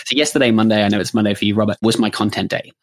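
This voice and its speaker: A man, co-hosting a podcast and explaining his routine in a relaxed, chatty way, often restarting his phrases. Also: lowish voice, very fast. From New Zealand. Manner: explanatory, with frequent restarts and "sort of" fillers. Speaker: podcast co-host. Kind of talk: casual conversational podcast chat. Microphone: studio podcast microphone.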